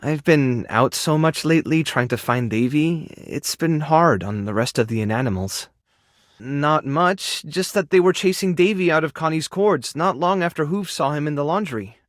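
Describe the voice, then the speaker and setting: Male game character man acting: caring, anxious animal rescuer, friendly and coaxing, higher-set voice with lively swings. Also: normal speed, dry recording, clean studio sound, close to an everyday voice. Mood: friendly, weary. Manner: expressive, soft-hearted, encouraging, coaxing small creatures like pets. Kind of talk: video game character dialogue lines. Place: studio.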